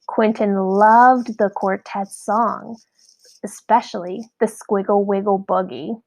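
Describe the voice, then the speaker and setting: Female storyteller for children's read-aloud: expressive, unhurried delivery, touches of vocal fry. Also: medium pitch, poor audio. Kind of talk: scripted children's story narration.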